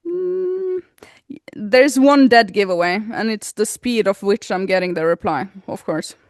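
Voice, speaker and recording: A woman co-hosting a podcast in casual chat, laughing often as she talks, her pitch jumping up suddenly. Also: animated, a little slow, vocal fry, dry recording, studio quality. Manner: giggly, laughs often while talking. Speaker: podcast co-host.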